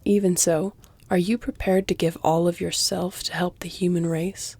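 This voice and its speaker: Woman acting a centuries-old female vampire, audio roleplay, dark mellow tone, very close dry sound, measured, teasing, breathy near-whispers. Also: fairly low pitch, steady tone, dry recording. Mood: grave, challenging. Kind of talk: intimate audio roleplay, scripted dramatic acting. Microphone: close, dry studio-style mic.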